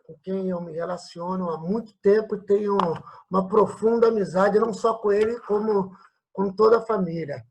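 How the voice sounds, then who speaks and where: A man's voice: sits high, normal speed, darkish tone, slightly rough, low-quality recording. A man with a Brazilian accent from São Paulo state, hosting a casual, friendly talk-show interview. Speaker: host of an online political and cultural talk show.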